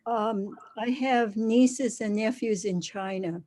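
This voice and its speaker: A woman's voice: a little high, slow, warm tone. A woman taking part in an online group, casually sharing about herself.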